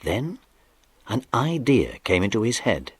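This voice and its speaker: Man, husky voice, dry close sound, scripted children's narration, expressive. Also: mid-range voice, measured pace, no room sound. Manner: expressive, dramatic phrasing.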